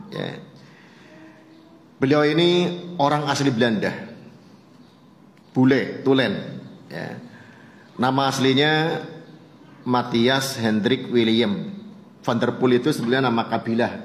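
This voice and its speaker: An Indonesian man preaches in a big, reverberant space, his mid-pitched voice ringing with echo. He explains things conversationally, like a teacher telling an anecdote for its lesson, and drops in short tags to check that listeners follow. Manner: conversational, explanatory, often punctuating with short tag words. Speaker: Islamic preacher. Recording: echoey hall with long reverb.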